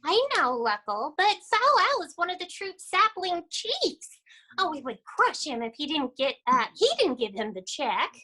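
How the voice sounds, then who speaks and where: Woman as a high, childlike cartoon bird. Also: big pitch swings, normal speed, bright, forceful tone, full character voice, low-quality recording. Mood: earnest.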